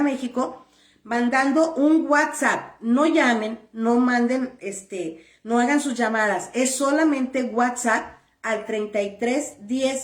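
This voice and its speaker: A woman with a somewhat high voice answers viewer questions about immigration papers. She is firm and blunt and keeps repeating her key points. The recording has a roomy echo. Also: recorded from a distance. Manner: firm, blunt and repetitive, stressing points plainly. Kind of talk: direct-to-camera advice video.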